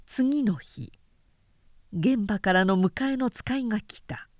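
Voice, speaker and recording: Slow, expressive woman narrator, dry close sound. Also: medium pitch, no room sound. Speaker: narrator reading a story aloud. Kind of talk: scripted literary narration of a period tale.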